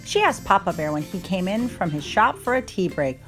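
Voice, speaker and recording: A woman giving an expressive scripted read-aloud of a children's storybook as narrator. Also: fairly low pitch, brisk, faint music behind.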